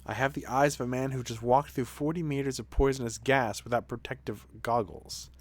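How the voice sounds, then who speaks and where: A man's voice: medium pitch, steady tone, brisk, voice pushed down, close to an everyday voice. A man voicing a stern, weary video game doctor, dry and impatient, with a clipped, grave delivery.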